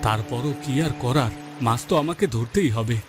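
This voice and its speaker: A man's voice: medium pitch, normal speed, natural voice. Humble fisherman played by a man, music behind. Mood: musing, worried. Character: humble, hardworking poor village fisherman, a husband and father. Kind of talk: Bengali moral-story cartoon dubbing. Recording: loud music bed behind the voice.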